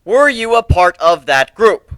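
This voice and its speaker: A man's voice: high voice, very animated, unhurried, a bit bright, no room sound, pitched above the performer's own voice, full character voice. A man voicing a stern griffin prosecutor in a dramatic story reading, questioning in a formal, commanding way. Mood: pressing. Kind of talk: dramatic fan fiction reading.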